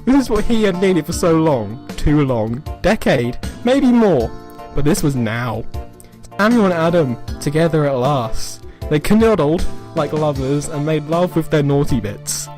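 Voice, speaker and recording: Higher-pitched man giving a dramatic comic reading. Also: animated, unhurried, darkish tone, faint music behind. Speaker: reader of amateur fan fiction.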